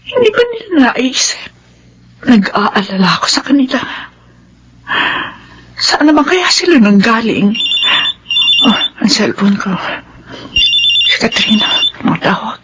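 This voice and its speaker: A woman's voice: fairly high pitch, big pitch swings, unhurried, pauses often, noisy background. A woman playing an emotional, guilt-ridden middle-aged mother in a Filipino radio drama. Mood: worried, lonely. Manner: emotional, melodramatic.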